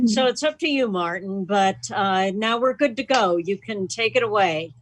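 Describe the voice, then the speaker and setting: A woman's voice: mid-range voice, lively intonation, unhurried, no room sound, poor audio. A woman organising an online meeting, making casual announcements over a video call.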